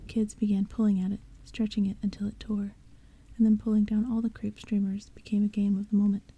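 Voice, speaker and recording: Woman whispering a story, breathy and dark. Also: medium pitch, flat delivery, a little fast, no room sound, low-quality recording. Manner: soft, hushed whisper throughout. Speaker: audiobook narrator. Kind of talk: whispered audiobook reading.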